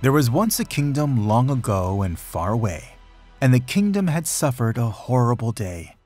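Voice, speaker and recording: A man reading a rhyming children's picture book aloud in an expressive, dramatic storytelling manner. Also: medium pitch, normal speed, faint music behind. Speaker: storybook reader.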